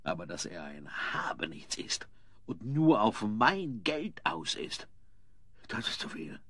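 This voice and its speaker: An actor playing an indignant, pompous, middle-aged wealthy father in a German audio drama, blustering theatrically and haltingly. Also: lowish voice, big pitch swings, a bit bright, husky, no room sound, clean studio sound, exaggerated voice. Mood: outraged.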